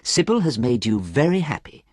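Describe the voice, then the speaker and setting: A man voicing a kind, earnest male painter friend in a storybook reading, his voice pitched up. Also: big pitch swings, moderate pace, darkish tone, dry recording, clean studio sound.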